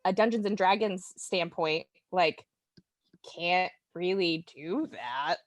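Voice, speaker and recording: A woman speaking as a guest on a casual podcast, halting and feeling her way through thoughts with lots of "like". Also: on the low side, no room sound. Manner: halting, peppered with "like". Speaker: guest listener.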